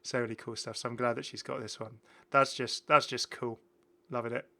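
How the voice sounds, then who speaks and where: A British man hosts a gaming video in a bright, forward voice at a middling pitch. He talks casually and keenly, rambling through his points and often rewording them midway. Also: level tone, a little fast, gravelly. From United Kingdom. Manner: rambling, self-correcting, enthusiastic. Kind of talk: casual game commentary.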